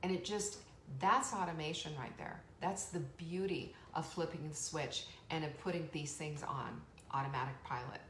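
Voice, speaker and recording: A woman's voice: deep voice, normal speed. Peppy woman hosting a live stream, lots of laughs, echoey room with a bit of hiss. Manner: energetic, chatty, laughs often, reads viewer comments. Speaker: host of a live online show. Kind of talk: motivational live-stream talk. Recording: echoey room with faint background noise.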